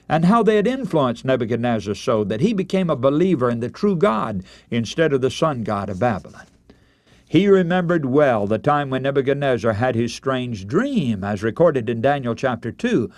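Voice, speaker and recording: A man's voice: mid-range voice, no room sound. A man preaching a radio sermon, retelling Bible stories in dramatic, emphatic oratory and addressing his listeners as friends.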